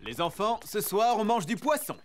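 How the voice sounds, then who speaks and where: A woman voicing an easygoing father in a cartoon, warm and fatherly. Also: medium pitch, sing-song, normal speed, very noisy, natural voice. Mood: cheerful.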